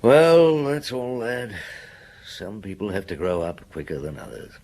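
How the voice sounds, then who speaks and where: An actor playing a patient, shrewd, mature police chief inspector in a radio drama, measured and understated. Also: male voice, fairly low pitch, sing-song, darkish tone. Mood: gentle, probing. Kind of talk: radio drama acting with first-person narration.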